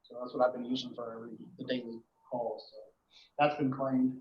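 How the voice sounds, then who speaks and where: A man gives an informal report to a board at a meeting, explaining things in a halting, matter-of-fact way. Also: mid-range voice, level tone. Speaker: emergency dispatch official reporting to a board. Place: indoor meeting room. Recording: echoey meeting room, dull muffled audio.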